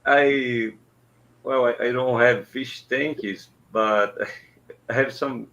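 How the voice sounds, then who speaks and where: A man from Brazil with a Brazilian Portuguese accent, speaking as a caller in a casual chat in halting English and searching for words. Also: mid-range voice, fairly even intonation, a bit bright. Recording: dull lo-fi line.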